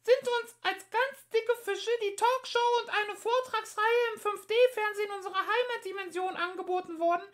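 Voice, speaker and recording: A man voicing two scheming male mice scientists in a very high squeaky voice, reading an audiobook. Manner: fast, excited, scheming.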